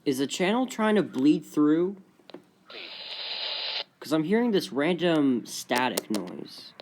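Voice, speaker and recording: A man's voice: a little high, very animated, slow pace, darkish tone, slight background noise. A man giving casual, off-the-cuff vlog commentary in a rambling, conversational way. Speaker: vlogger.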